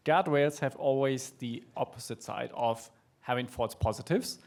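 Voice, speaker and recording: A man's voice: mid-range voice, normal speed, darkish tone. Polite man asking a conference question. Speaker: audience member.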